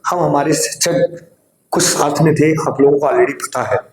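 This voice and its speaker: A man who teaches exam coaching gives students a news update in an earnest voice. He sounds slightly hoarse and keeps urging them to listen carefully. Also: a little high, normal speed. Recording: roomy echo.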